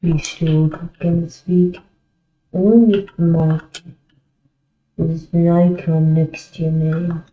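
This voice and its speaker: A woman's voice: lowish voice, level tone, slow, long pauses. A woman gamer chatting casually over in-game voice chat in a plaintive, pestering manner. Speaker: online game player. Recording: phone-like, echoey game voice chat.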